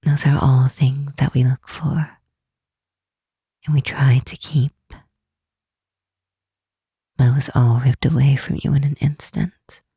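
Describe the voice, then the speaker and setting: A woman voicing a powerful, protective demon queen in a soft, breathy half-whisper, speaking slowly and tenderly in an intimate ASMR roleplay. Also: rumbling low, level tone, no echo, studio quality, full character voice. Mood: solemn, confiding. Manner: slow, tender, solemn, with deliberate pauses.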